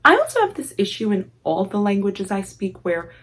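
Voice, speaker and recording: A woman's voice: mid-range voice, huge pitch swings, moderate pace, husky. A young woman with an Armenian accent telling a personal story in a casual vlog, choosing formal, elaborate words but often letting them tumble out impulsively, with the odd "like". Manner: formal, elaborate diction with filler "like". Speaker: vlogger.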